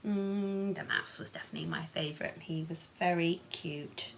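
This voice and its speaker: A woman's voice: on the low side, fairly even intonation, normal speed, a bit bright, slight background noise. A woman storyteller reading a children's picture book expressively, with playful asides and questions for young listeners.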